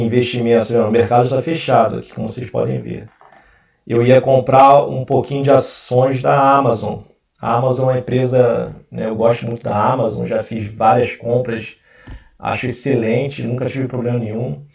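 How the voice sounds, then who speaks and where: A man's voice: mid-range voice, flat delivery, moderate pace, vocal fry, a little mellow. A Brazilian man with a Carioca accent, hosting a casual investing video, talking with frequent fillers and restarts. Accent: Carioca Portuguese. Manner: hesitant, many fillers and restarts. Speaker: investment channel host. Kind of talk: casual screen-share explainer. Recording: roomy, distant, dull mic.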